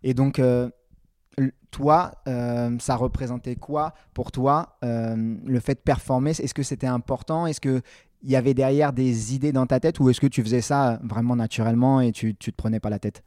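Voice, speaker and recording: Male interviewer, mid pitch, warm-toned and relaxed, chatty rambling questions, dry close recording. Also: moderate pace, dry recording. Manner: friendly, rambling questions with restarts. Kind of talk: casual sports interview.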